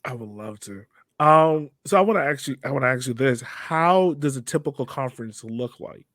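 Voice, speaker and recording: A man's voice: mid-range voice, animated, moderate pace, fairly bright tone, no echo, studio quality. A man hosting a podcast, asking questions in a casual, conversational way. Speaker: podcast host, interviewer.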